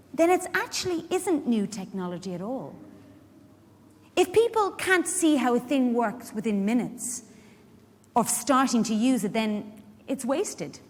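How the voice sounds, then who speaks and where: A woman's voice: fairly high pitch, darkish tone. An Irish woman actor playing a chatty, frank, wry carer in a theatrical monologue, putting pointed questions to her listeners. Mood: earnest, persuasive. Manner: conversational, pointed rhetorical questions. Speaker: actor in a dramatic reading. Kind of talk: theatrical monologue addressed to a live audience. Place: large indoor hall at a public event. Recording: echoey hall, live stage reading.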